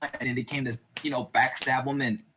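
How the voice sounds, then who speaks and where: A man's voice: medium pitch, fairly even intonation, a little fast, bright, forceful tone. A man chatting casually and giving opinions, rambling colloquially and repeating himself for emphasis. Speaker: podcast host. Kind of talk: casual opinionated chat about TV.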